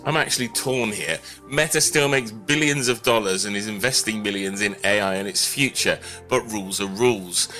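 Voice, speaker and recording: Male tech commentator, punchy bright delivery, opinionated and dry-witted, over a music bed. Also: fairly low pitch, normal speed, few pauses. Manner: wry, opinionated. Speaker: technology news commentator. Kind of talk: conversational news commentary. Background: background music.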